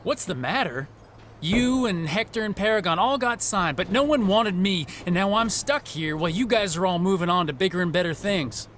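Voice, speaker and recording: A young male wrestler in a video game, anxious and paranoid. His slightly high voice is whiny and insistent as he sulks, with faint noise behind it. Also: expressive, normal speed, fairly bright tone. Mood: dejected. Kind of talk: video game cutscene dialogue.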